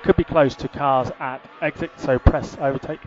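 A man working as a race engineer, giving calm, clipped, repeated instructions over a team radio. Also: medium pitch, moderate pace, over music. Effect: team radio filter. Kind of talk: terse, instructional radio messages. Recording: muffled, noisy motor-racing team radio.

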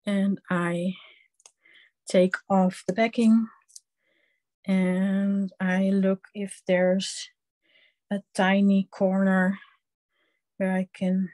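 A woman with a Dutch accent leads a live online craft class, speaking slowly and haltingly as she works. Her pitch sits at an ordinary middle level, and she often stops to fill the gaps with ums while her hands are busy at the table. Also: level tone, darkish tone. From Netherlands. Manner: slow, halting, many ums, hands-on explaining. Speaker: craft instructor.

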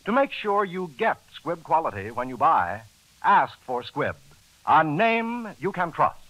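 A man's voice: on the high side, sing-song, unhurried, sharp tone, slight background noise, poor audio. An American man announcing from a script in the formal style of 1940s radio. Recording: old 1940s radio broadcast, faint music.

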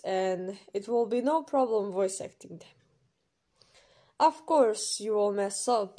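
A woman's voice: medium pitch, warm tone. A woman reading an informal explainer voice-over in an emphatic, instructional way, as a creator running an audition. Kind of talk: informal scripted explainer voice-over with numbered rules.

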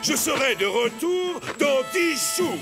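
An actor playing a pompous, scheming, tyrannical military commandant in a cartoon, grandiose and theatrical. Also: male voice, extremely high pitch, big pitch swings, takes it slowly, creaky, over music, exaggerated voice. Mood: furious, imperious. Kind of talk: animated cartoon dubbing.

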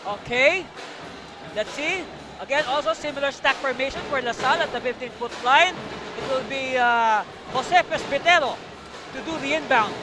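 A man calling a live basketball game from courtside, energetic and shouting on the big plays. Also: very high-pitched, moderate pace, over music, a crowd in the background. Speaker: play-by-play commentator. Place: courtside booth in a packed arena. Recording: noisy arena broadcast.

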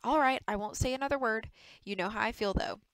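A woman narrator acts out a swaggering young male TV heartthrob in a storybook. He is flippant and boastful, always teasing. The voice is smooth and dry. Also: on the high side, fast talker, a bit bright, dry recording. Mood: cajoling. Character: cocky, teasing young male soap opera star.

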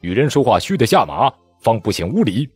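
A man voicing a calm, cold rebel leader who speaks in a stern, measured, husky voice. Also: mid-range voice, soft background music.

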